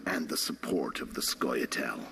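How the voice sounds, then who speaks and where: An actor playing a grim, menacing hired killer in a video game, businesslike and ominous. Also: male voice, a bass voice, expressive, measured pace, vocal fry, noisy background, full character voice. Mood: grim, demanding.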